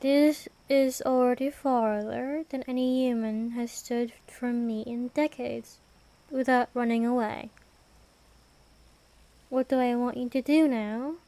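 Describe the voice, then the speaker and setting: Woman acting as ancient vampire queen, high-pitched voice, unhurried pace, imperious, formal, ASMR fantasy roleplay. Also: fairly even intonation, warm tone, no room sound. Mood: wry, intrigued. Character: ancient vampire queen, aloof, menacing yet protective woman.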